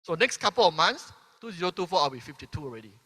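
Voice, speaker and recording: Brief: Malaysian-born man, 50s, bright voice, convention stage with long hall reverb, keeps checking in with "right?". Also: fairly high pitch, very animated, racing, breathy edge. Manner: peppers sentences with "right?", asks the crowd questions. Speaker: keynote speaker. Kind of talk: motivational convention speech.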